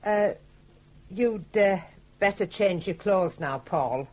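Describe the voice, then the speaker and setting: An actress playing a bossy, status-conscious middle-aged mother with a Northern English accent in a radio drama, speaking briskly in a husky voice. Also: medium pitch, muffled recording. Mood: scheming, firm. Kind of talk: 1980s British radio drama acting.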